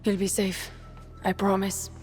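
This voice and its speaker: A woman's voice: mid-range voice, completely flat delivery, unhurried, darkish tone, lightly acted. Female actor as young guerrilla woman, tough, loyal, earnest game-cutscene drama, faint music underneath. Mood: reassuring. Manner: earnest, blunt, urgent.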